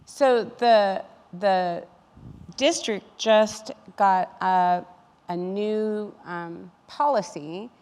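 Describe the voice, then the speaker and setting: A woman's voice: medium pitch, very slow, darkish tone. A woman speaking as an educator in a panel discussion, explaining things in an earnest, advocating way. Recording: reverberant hall sound.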